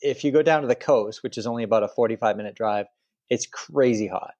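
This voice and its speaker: A middle-aged man from Canada speaking as a guest author, relaxed and rambling, often restarting his phrases. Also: medium pitch, quick pace, lo-fi sound. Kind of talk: interview conversation.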